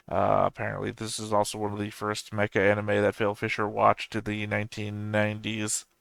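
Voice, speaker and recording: A man's voice: on the low side, gravelly, a bit bright, clear, resonant tone, dry recording. A man in his thirties hosting an anime reaction channel, talking off the cuff with dry humor and frequent self-corrections. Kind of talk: casual rambling commentary.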